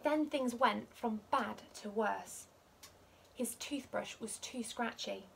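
A young woman reads a children's story in a high, expressive voice. She takes it slowly and clearly paced for little listeners. It is recorded in a living room with faint background noise. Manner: expressive, measured, for small children. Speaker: school teacher reading a picture book.

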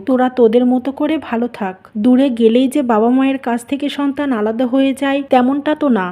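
Cartoon matriarch played by a woman: high, dark-toned voice, anxious, firm, reasoning and motherly. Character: anxious, firm mother-in-law holding the household together. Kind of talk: Bengali animated family melodrama.

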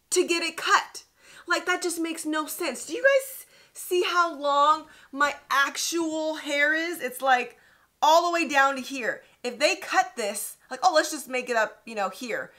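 Bright, chatty woman vlogger. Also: fairly high pitch. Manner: chatty, emphatic, lively asides. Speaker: beauty vlogger. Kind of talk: casual advice vlog to camera. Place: indoor studio with plain backdrop.

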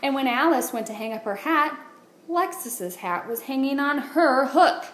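A woman, a teacher, reading a children's picture book aloud with expressive, deliberate phrasing. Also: high voice. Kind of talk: read-aloud storytelling for children. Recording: roomy echo, light background noise.

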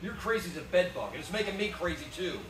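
A man's voice: high voice, lively intonation, fast, a crowd in the background. A man playing a bewildered, pleading family man in a live radio play, stammering and urgent, recorded from the audience. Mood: bewildered, agitated. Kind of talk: live 1940s-style radio play acting. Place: small theatre stage, indoors. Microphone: far camera in the audience. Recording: roomy, distant, noisy theatre audience recording.

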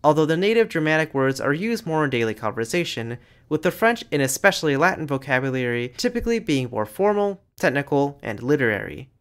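A man giving scripted educational narration over slides, in a lively, explanatory way. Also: fairly high pitch, brisk, hardly any pauses, smooth. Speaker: language channel narrator.

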